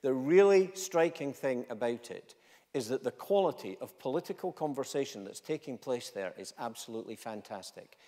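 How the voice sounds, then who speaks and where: A middle-aged man with a Scottish accent giving a passionate conference speech from a stage, driving his points home by repeating them and swinging between loud and quiet. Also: medium pitch, expressive, moderate pace. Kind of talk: impassioned conference keynote speech. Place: large conference hall stage. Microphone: stage microphone, walking freely. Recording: long hall reverb.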